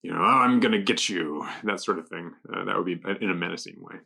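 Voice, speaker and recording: A man casually explaining English vocabulary off the cuff, pausing to think and offering loose examples. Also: pushed and bright. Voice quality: gravelly, low. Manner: halting, thinking aloud with examples. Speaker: guest explaining English words. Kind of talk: casual unscripted word explanations.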